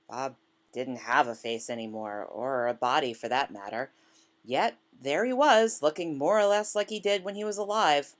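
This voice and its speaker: A woman reading literary fiction aloud as scripted narration, in a measured manner. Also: very deep voice. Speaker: author reading a short story.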